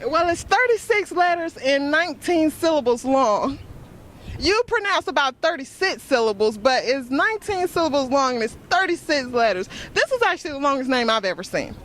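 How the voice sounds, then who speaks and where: High, lively woman street reporter. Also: moderate pace, a little hiss or hum. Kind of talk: street interviews.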